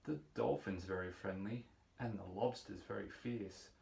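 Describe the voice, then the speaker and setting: A man reading a rhyming picture book aloud for young children in a measured, playful storytelling manner. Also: on the low side, gravelly, a little mellow. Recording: roomy echo, distant microphone.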